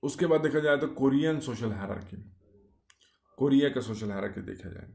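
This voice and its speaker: A man's voice: mid-range voice, brisk, vocal fry, a little mellow. A man giving a casual explainer monologue, speaking in short clauses with many fillers and rhetorical questions. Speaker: YouTube explainer. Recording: echoey room.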